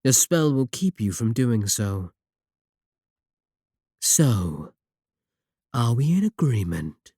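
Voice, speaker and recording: A man playing a sly, sadistic warlock in a roleplay audio drama, smug and possessive, with taunting, deliberate phrasing. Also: mid-range voice, very animated, husky, dry recording, clean studio sound, full character voice. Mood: sly, bargaining. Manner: taunting, drawn-out, deliberate phrasing with dark chuckles. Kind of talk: scripted roleplay audio drama, spoken to the listener.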